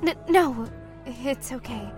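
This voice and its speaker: A woman's voice: high-pitched, lively intonation, slow pace, over music. A woman playing a guarded, evasive young mermaid in an audio drama, hesitant and defensive. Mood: hesitant, anxious. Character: guarded young female man-eating mermaid, evasive, prickly. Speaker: voice actor.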